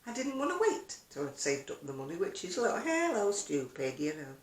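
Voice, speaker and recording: A woman's voice: lowish voice, wildly swooping pitch, normal speed. An older woman chatting casually to camera as a video blogger, rambling along with self-deprecating asides in an echoey room. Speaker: video blogger and craft seller.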